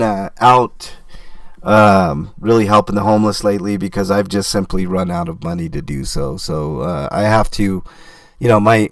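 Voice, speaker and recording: A man hosting a casual livestream, reading viewer comments and answering in a halting way with many fillers and restarts. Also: on the low side, gravelly, no room sound, studio quality.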